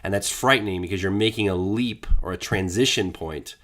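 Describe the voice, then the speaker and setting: A man's voice: sits low, a little fast, a bit bright. A man co-hosting a podcast, joking casually and then explaining ideas at length, with a slight scratch in his throat. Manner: playful, rambling explainer. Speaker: podcast co-host.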